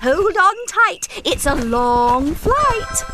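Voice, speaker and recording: A high, swooping female pelican voice, as a storyteller puts her on, kind but urgent and a little bossy. It leaps all over the scale and lilts through every line of the storybook, with music playing underneath. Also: very animated, very slow, full character voice. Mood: eager. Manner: high, sing-song and bossy-sweet.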